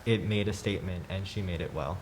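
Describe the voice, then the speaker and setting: Male teen student panelist, slightly deep voice, informal and hesitant, faint noise behind. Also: quick pace. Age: teens. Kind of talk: casual chat.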